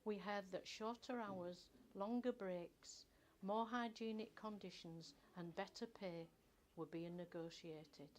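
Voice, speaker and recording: Slow-paced older woman reading a story. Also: mid-range voice, a little mellow, slight background noise. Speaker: author reading own short story. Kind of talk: scripted first-person story narration.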